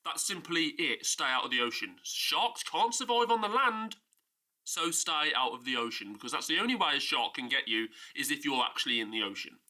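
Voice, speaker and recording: A man's voice: a little high, expressive, moderate pace, sharp tone. A man with a stuffy nose from a cold, casually and emphatically ranting about a film in a vlog. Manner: exasperated, emphatic, mocking. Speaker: film review YouTuber.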